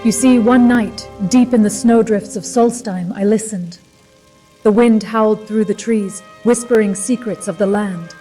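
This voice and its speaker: A woman's voice: mid-range voice, unhurried, mellow, recorded from a distance, soft background music, lightly acted, low-quality recording. A woman voicing a proud, hardy Nordic village huntress in a video game, speaking formally like an earnest storyteller. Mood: mock-solemn storytelling. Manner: formal, storytelling, mock-solemn. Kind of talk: video game character dialogue.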